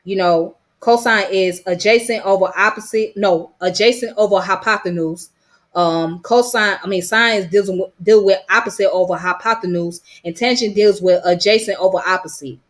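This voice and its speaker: A woman's voice: mid-range voice, normal speed. A woman from Georgia with a strong Georgia Southern accent, talking loosely to camera in a casual vlog, her voice a little worn, full of "you know what I'm saying" fillers. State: voice slightly worn. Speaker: vlogger. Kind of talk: casual rambling monologue.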